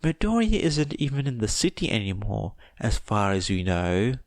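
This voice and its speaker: A man's voice: fairly low pitch, big pitch swings, moderate pace, no echo, clean studio sound. A man voicing an exasperated, groaning female teacher in a story. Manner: groaning, complaining.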